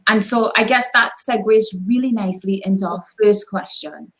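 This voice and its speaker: A woman hosting an online interview session, reading a measured introduction and then asking long, winding questions. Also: medium pitch, steady tone, fairly bright tone, poor audio. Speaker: host.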